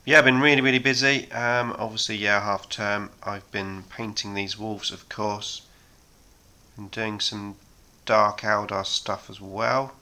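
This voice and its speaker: A man in his thirties hosting a miniature painting chat, talking casually with many ums and a slightly hoarse voice from a sore throat. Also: fairly low pitch, measured pace. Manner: relaxed, rambling, many ums. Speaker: hobby painting channel host.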